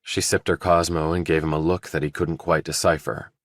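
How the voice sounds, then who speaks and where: A man narrating a thriller audiobook, reading scripted prose and character dialogue in a deep voice. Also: level tone, fairly quick, no room sound, studio quality.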